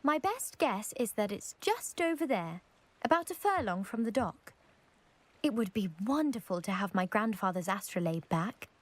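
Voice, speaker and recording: A woman's voice: medium pitch, big pitch swings, normal speed. A woman playing a proud, eager young lady from a scholarly family in a video game, with a posh British accent and precise, self-assured delivery. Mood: eager, informative. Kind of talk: video game character acting.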